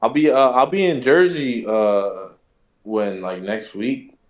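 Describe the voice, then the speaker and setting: An easygoing man calling into a podcast, his pitch in the middle range. He rambles through his thoughts with slow fillers. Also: steady tone. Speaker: guest caller from the chat server. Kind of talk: casual podcast chat.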